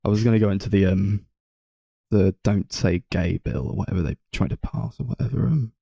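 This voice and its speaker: A young man with a low-pitched, mellow voice talks casually as a film podcast co-host. He feels his way through his points hesitantly, and the sound is close and dry. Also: brisk, creaky, husky, dry recording.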